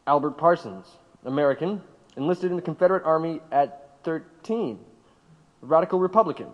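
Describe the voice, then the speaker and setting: An actor playing a stern but polite government agent, clipped and insistent, in an audio drama interrogation. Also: male voice, on the high side, animated, moderate pace, lightly acted. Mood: matter-of-fact. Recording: muffled, reverberant room sound.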